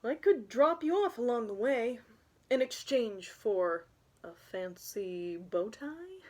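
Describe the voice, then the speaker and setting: A woman's voice: fairly high pitch, lively intonation, slow pace, mellow. A woman voicing a casual, matter-of-fact male orca in a storybook read-aloud. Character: male orca, casual deal-making sea animal.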